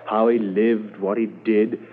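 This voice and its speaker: A man playing a folksy, talkative small-town neighbor in a 1950s radio drama, with a conversational, drawn-out delivery. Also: lowish voice, flat delivery, natural voice. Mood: folksy, chatty. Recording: tinny, noisy old radio transcription.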